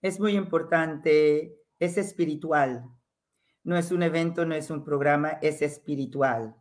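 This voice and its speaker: A woman's voice: low-pitched, measured pace, a little mellow. A middle-aged woman from San Andrés, Colombia, speaking with an island accent, talking passionately as a guest on a video call from a room at home. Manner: impassioned, emphatic, dropping code-switched English asides. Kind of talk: interview over video call.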